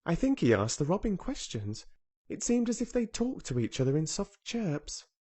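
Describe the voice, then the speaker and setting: A headstrong little girl from a storybook, raised high above the man's own voice and swinging with lively melody. She talks earnestly and bluntly, never softening for anyone. A male reader puts her on. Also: moderate pace, husky. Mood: eager. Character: blunt, contrary young girl.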